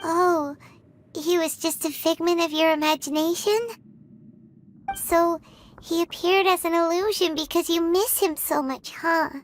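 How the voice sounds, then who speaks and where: A woman voicing a small, curious, naive fairy companion in a video game, with a squeaky childlike voice. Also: fairly even intonation, measured pace, a little hiss or hum.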